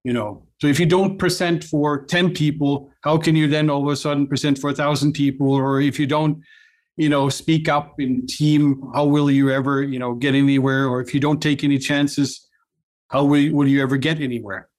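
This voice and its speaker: A middle-aged man from Norway with a Norwegian accent, a company cofounder chatting as an interview guest, often restarting and filling pauses with "uh". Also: on the high side, steady tone, a little mellow. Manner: halting, many restarts and fillers. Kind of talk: casual interview chat.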